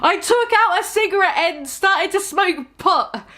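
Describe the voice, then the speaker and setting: A woman's voice: very high voice, expressive, a little slow, pushed and bright. A woman giving a mock-dramatic reading of a fan story, amused and full of sarcastic asides. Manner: amused, keeps breaking into laughter, sarcastic asides.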